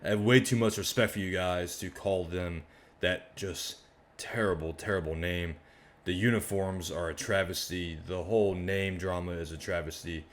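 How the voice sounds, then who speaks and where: A man from Maryland with a very deep, worn-out hoarse voice, chatting casually and energetically as host of his own fan reaction channel. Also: normal speed. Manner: chatty, rambling, energetic asides.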